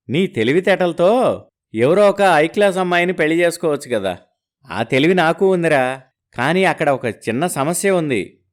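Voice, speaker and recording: A man acting out scheming young men's casual, slangy buddy talk in an animated moral story. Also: a little high, lightly acted. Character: scheming poor young man hunting for a bride.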